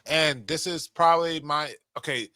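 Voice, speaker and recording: Man, forceful bright tone, casual opinionated chat, emphatic, profane, dry close mic. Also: a little high, moderate pace, no room sound. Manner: blunt, emphatic, profane, repeats himself for emphasis. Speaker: podcast co-host.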